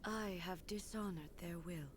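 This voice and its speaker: A woman voicing a grave immortal ruler in an anime game, speaking formally and in measured phrases over music. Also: fairly low pitch, background noise, lightly acted. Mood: reflective, solemn. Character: solemn, regal immortal warrior ruler woman.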